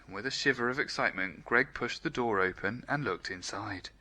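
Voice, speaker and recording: A man reading a children's story aloud in an expressive, playful, emphatic way. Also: on the low side, a little fast.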